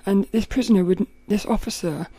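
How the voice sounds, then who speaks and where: A woman with a rough, cracking voice, hosting a podcast and thinking aloud in a rambling way, often laughing. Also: mid-range voice, brisk, mellow, no echo. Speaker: podcast host. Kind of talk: casual reflective monologue.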